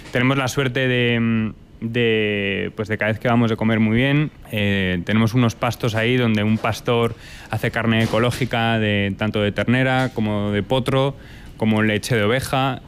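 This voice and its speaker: A man from Italy and Spain who runs a restaurant, answering interview questions in a lively way and dropping in Italian food terms. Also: fairly low pitch, slight background noise. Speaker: restaurant owner.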